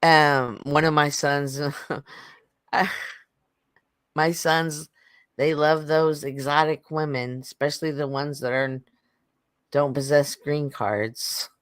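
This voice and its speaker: A woman in her fifties talks on an online panel. Her voice sits low, and the sound is dry and close. She tells family stories in a chatty way, breaking into laughter often. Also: fairly even intonation, slow, pauses often, smooth, no room sound. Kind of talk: casual group chat. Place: online voice chat.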